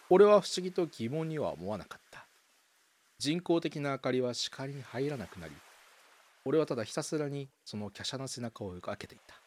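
A man's voice: medium pitch, a little mellow. A man reading scripted first-person narration for a radio drama, with dramatic, suspenseful storytelling.

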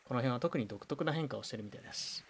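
A man's voice: mid-range voice, fast talker, breathy edge. A man playing a knowledgeable, dryly teasing university student, explaining things matter-of-factly in an amateur radio drama.

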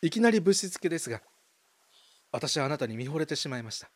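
A man acting an envious, lovelorn young man in a radio drama, earnest, excitable and awkwardly polite. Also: on the high side, fast talker, big-room sound, pitched above the performer's own voice. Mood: eager, polite. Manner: excitable, earnest, stammering under nerves.

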